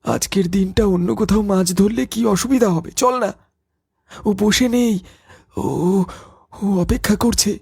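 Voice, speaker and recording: Man voicing a scared cartoon village lad, high, raspy, stuttering. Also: fairly even intonation, a little slow, pauses often, a little mellow, dry recording, studio quality, exaggerated voice. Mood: frightened. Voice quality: stammering when scared. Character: frightened young village man, superstitious and jumpy. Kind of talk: cartoon voice acting.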